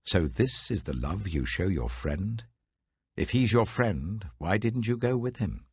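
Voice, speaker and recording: A man's voice: fairly low pitch, lively intonation, unhurried, breathy edge, dry recording, studio quality, voice pushed up, full character voice. A man voicing an ambitious usurper prince in a scripture audiobook, commanding and pointed in his questioning. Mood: suspicious.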